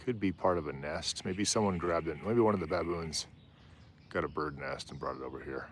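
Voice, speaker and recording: A man with a low voice hosting a live stream outdoors on a rooftop, chatting in a rambling, easygoing way. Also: brisk, slight background noise. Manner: rambling, easygoing, often trails off and laughs. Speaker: livestream host. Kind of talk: casual live commentary.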